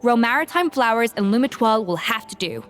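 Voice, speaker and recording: A woman's voice: fairly high pitch, lively intonation, fast, soft background music, lightly acted. A woman voicing a proud, fiery, confident young female chef in a video game, speaking emphatically and with self-assurance.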